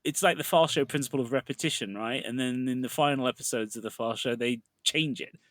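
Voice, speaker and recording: A man's voice: medium pitch, fairly quick, fairly bright tone, no echo. A British man co-hosting a podcast, talking in a rambling, casual way with many restarts. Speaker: podcast co-host. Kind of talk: casual film discussion.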